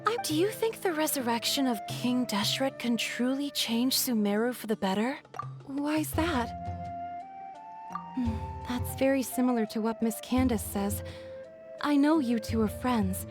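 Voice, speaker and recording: An actress playing a shy, soft-spoken young woman of mixed heritage in a video game, speaking hesitantly over game music. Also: on the high side, expressive, unhurried. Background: game background music.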